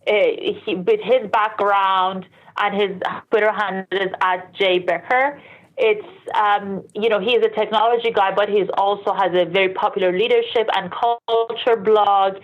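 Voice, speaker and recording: The sound is dull and slightly lo-fi, carrying a woman's mid-pitched voice. She is from Iran and later moved to Canada. She speaks as an interview guest, explaining things conversationally and correcting herself along the way. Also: fairly even intonation, normal speed. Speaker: interview guest, sports industry professional. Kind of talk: casual interview answers. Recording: dull, lo-fi band-limited sound.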